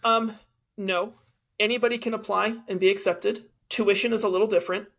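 Male podcast co-host with a high voice who argues his case with examples. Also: fairly even intonation, fairly quick, a bit bright. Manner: argumentative, explains with examples. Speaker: teacher. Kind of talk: casual debate chat.

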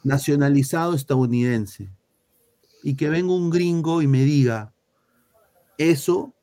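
A man's voice: on the high side, measured pace, mellow. Blunt Peruvian man ranting about football. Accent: Peruvian Spanish. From Peru. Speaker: football show host. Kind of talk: heated casual football talk.

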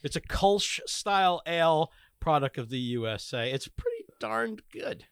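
A man's voice: a little high, big pitch swings, measured pace, vocal fry, clean tone, no room sound, clean studio sound. A man co-hosting a casual comedy podcast, giggly and rambling, swearing freely. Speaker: podcast co-host.

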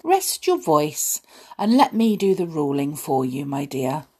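A woman voicing a diminutive male king who speaks soothingly and coaxingly to his wife, with a slightly breathy voice. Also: sits low, sing-song, a little slow, warm tone, full character voice. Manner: sweet, coaxing.

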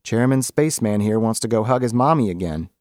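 A man's voice: lowish voice, expressive, brisk, a little mellow, dry recording, studio quality, pitched above the performer's own voice, lightly acted. A man voicing a blunt, grumpy working-class man who needles his friend in colloquial talk, in a story reading. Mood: teasing.

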